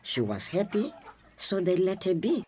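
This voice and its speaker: An actress playing a wise, accepting grandmother in a radio drama, older, with a calm, gently challenging manner. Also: medium pitch, normal speed, a little hiss or hum. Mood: calm, reassuring. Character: wise, accepting grandmother counselling her daughter.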